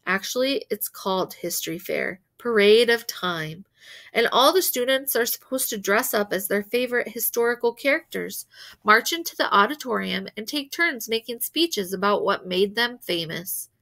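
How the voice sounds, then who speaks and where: Bright-voiced woman reading a story aloud. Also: medium pitch, normal speed, vocal fry. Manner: animated, acts out characters' dialogue. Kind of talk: expressive children's novel read-aloud.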